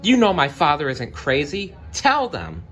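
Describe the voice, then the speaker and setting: Guy doing a spirited young storybook woman, voice pitched way up, pleading and emphatic. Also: huge pitch swings, measured pace, fairly bright tone, faint music behind, heavily acted. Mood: pleading protest.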